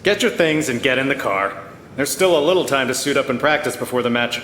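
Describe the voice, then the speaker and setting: Man voicing a curt, forceful henchman. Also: mid-range voice, natural voice. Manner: brisk, impatient. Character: curt, businesslike henchman of a powerful boss. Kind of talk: animated drama voice acting. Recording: echoey hall with faint noise.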